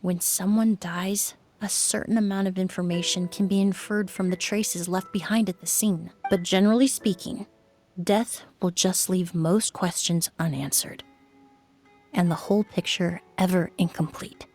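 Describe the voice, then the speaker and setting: A woman voicing a composed adult perfumer and crime-scene cleaner in a game, speaking in a measured, thoughtful way. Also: lowish voice, steady tone, a little mellow, soft background music, lightly acted. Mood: calm, reflective. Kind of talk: game voice acting.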